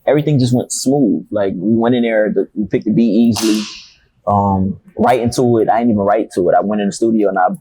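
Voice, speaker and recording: A man's voice: fairly low pitch, quick pace, dark tone, breathy edge, rough recording. A young man, a rapper telling stories in a casual interview, laid-back and slangy. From Miami, Florida. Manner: laid-back, slangy, loose storytelling with profanity. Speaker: rapper guest.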